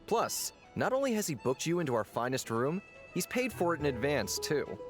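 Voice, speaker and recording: A man voicing an affable, theatrical tavern owner in a video game, with a playful, jovial and expressive manner. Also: on the high side, moderate pace. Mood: easygoing, assured. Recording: music behind the voice.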